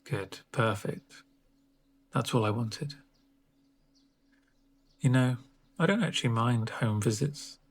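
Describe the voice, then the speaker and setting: A man speaking softly and gently in an ASMR roleplay as a reassuring, unhurried doctor on a home visit. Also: mid-range voice. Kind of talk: soft-spoken ASMR roleplay.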